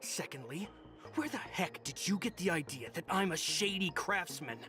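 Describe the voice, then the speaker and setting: A man's voice: high-pitched, expressive, moderate pace, music in the background. An actor playing a testy, by-the-book craftsman in a video game, firm and exasperated as he explains. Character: testy, by-the-book male craftsman inspecting cargo.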